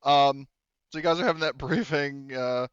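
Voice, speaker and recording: A man's voice: medium pitch, moderate pace, smooth, no room sound, dull audio. A man working as a game master, improvising narration and banter for a tabletop game in a jokey way, feeling out his phrasing as he goes.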